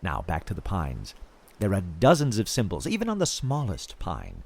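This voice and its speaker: A man playing an eerily fascinated field researcher in an audio drama, recording a halting, wondering monologue as he slips toward madness. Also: low-pitched, huge pitch swings, vocal fry, a little mellow, husky, exaggerated voice. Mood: intrigued, uneasy.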